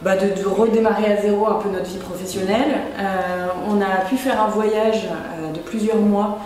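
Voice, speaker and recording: A woman's voice: mid-range voice, steady tone, normal speed, a little mellow. A woman from Lyon who co-founded a microbrewery, answering interview questions in a casual, hesitant way with many fillers. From Lyon, France. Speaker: brewery co-founder. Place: microbrewery shop. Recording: echoey room, mic at a distance.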